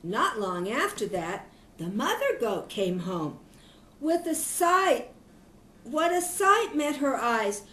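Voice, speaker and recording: An older woman, a grandmother, reading a children's story aloud in an expressive storytelling manner. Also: a little high, slow pace, slight background noise. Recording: echoey room.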